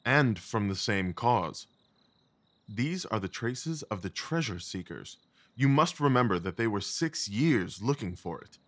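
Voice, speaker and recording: A low-voiced, keen detective played by a man in a classic mystery audio drama. He is composed and commanding, with a measured delivery. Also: lively intonation, a bit bright. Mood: analytical. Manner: measured, authoritative.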